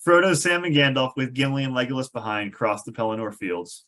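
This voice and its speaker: A man's voice: medium pitch, lively intonation, a little fast, a bit bright. A man narrating a table read of a screenplay, reading stage directions with wry, amused asides.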